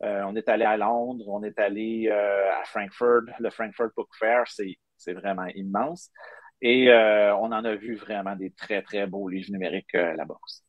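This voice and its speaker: A man's voice: on the low side, fairly even intonation, moderate pace, no echo, muffled recording. Quebec man explaining, dry close sound. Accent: Quebec French. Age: middle-aged. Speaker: guest, maker of digital book bookmarks. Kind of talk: conversational explaining in a live interview. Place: indoor room, on a video call. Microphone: webcam video call.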